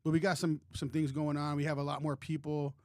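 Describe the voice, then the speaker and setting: A man's voice: on the high side, little pitch movement, brisk, darkish tone, dry recording, studio quality. A man co-hosting a podcast in casual chat, rambling and joking with many restarts. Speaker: podcast co-host.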